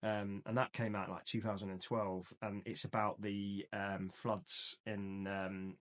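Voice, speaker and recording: A man's voice: fairly low pitch, little pitch movement, a little slow, a bit bright. A man co-hosting a casual film chat podcast, talking loosely with fillers and easy laughter. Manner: chatty, laughs easily, trails off with fillers. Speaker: podcast co-host.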